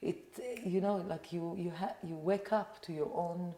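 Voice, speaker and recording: A woman's voice: lowish voice, normal speed, warm tone, reverberant. A middle-aged woman from Israel with an Israeli accent, a podcast guest chatting casually and rambling with lots of "like" and "you know". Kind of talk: casual interview chat.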